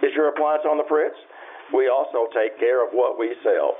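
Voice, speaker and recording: A man playing a folksy local store pitchman, delivering an old-time radio advertisement. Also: normal speed, lightly acted. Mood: salesmanlike. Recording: muffled radio recording, faint noise.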